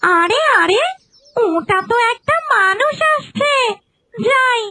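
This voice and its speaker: A woman voicing a hungry, menacing female ghost in a cartoon, with drawn-out moaning speech. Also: very high voice, animated, pushed and bright, dry recording, exaggerated voice.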